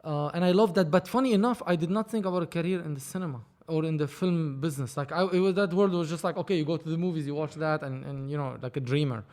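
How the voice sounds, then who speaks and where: A man, speaking in a voice that sits a little higher than most men's. He tells his story as a podcast guest in loose, animated chat, often restarting his sentences as he goes. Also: fast talker, few pauses. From Lebanon. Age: 30s. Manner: animated, rambling, frequent restarts. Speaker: film producer, podcast guest. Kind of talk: casual conversational storytelling.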